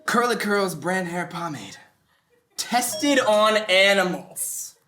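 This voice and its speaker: A woman's voice: mid-range voice, animated, slow, fairly bright tone, a little hiss or hum. A woman playing a cocky young vegan from Baltimore in a sitcom read on stage. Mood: suspicious. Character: cocky young mixed-race vegan from Baltimore, streetwise teacher. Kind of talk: sitcom table read before live audience. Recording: roomy stage sound.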